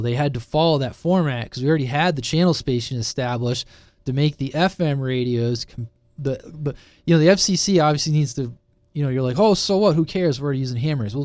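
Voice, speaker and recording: A man's voice: medium pitch, a little fast, a little mellow, no echo. A man giving a casual, unscripted technical explanation in an informal, conversational way, often checking that listeners follow. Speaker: presenter of a CB radio explainer channel.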